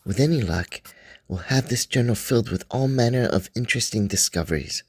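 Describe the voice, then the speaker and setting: A man playing a 1920s scholar who reads his expedition journal aloud in a measured, dryly wry way. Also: mid-range voice, animated, a little mellow, husky, dry recording. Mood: thoughtful. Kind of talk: first-person journal reading, radio drama.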